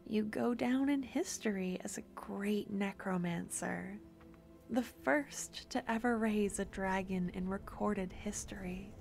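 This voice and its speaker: Slow whispering woman narrator. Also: mid-range voice, gravelly, soft background music. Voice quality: soft whispering. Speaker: ASMR narrator. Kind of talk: scripted ASMR narration.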